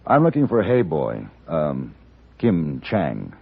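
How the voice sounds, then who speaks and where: An actor playing a cool, courteous, steely gun-for-hire in a 1950s Western radio drama, speaking in a measured, dry, formal way. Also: male voice, a bass voice, huge pitch swings, vocal fry, husky, heavily acted. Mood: polite, probing. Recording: muffled vintage radio recording.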